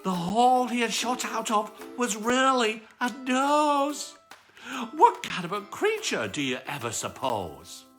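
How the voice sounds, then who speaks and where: Very animated woman reading a children's story. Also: on the high side, soft background music. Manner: dramatic, measured delivery with drawn-out words.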